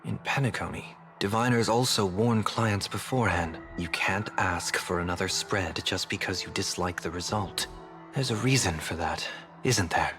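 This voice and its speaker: A man voice acting a polite, probing gentleman in a game cutscene, with measured, deliberate and formal speech. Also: sits low, music in the background, close to an everyday voice. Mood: calm, probing. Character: polite, probing, soft-mannered gentleman, former ruler.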